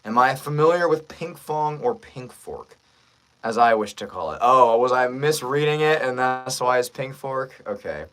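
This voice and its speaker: A man's voice: mid-range voice, lively intonation, normal speed, a bit bright. A man chatting casually and friendly with viewers as a voice actor on a livestream, thinking aloud as he goes.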